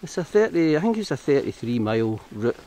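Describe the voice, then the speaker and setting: A man's voice: a little high, sing-song, fairly quick, warm tone. Chatty Scottish man walking outdoors. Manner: chatty, rambling, self-correcting. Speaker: hiking vlogger. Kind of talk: casual walking commentary. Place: outdoors on hill paths.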